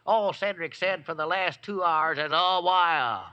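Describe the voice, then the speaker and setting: A man's voice: high voice, measured pace, lo-fi sound. A man playing a fretful, bumbling old country sidekick with a Southern US accent in 1940s radio comedy, folksy, hesitant and doubtful. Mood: exasperated. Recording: noisy old radio recording.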